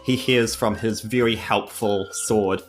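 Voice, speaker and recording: A man's voice: on the low side, fairly even intonation, slow. A man improvises comic third-person narration for his tabletop game character. His delivery is wry and tongue-in-cheek, with faint music playing underneath. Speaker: tabletop podcast player.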